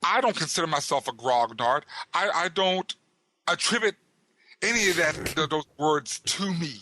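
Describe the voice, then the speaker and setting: Ranting Southern man, bright voice. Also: fairly high pitch, animated, moderate pace. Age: 50s. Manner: indignant ranting, repeats words. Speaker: podcast co-host. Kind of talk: casual podcast discussion.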